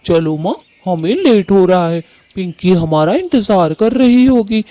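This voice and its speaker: A man voicing Kalu, a harsh, bossy male bird husband in a cartoon who scolds loudly. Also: high voice, animated, a little slow, no echo. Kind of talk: Hindi cartoon voice acting.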